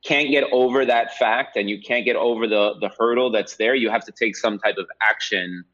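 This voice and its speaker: A man's voice: on the low side, moderate pace, a bit bright. A man being interviewed as a podcast guest, talking reflectively and conversationally, often checking in with a "right?". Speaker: podcast guest, real estate agent. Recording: dull, lo-fi sound.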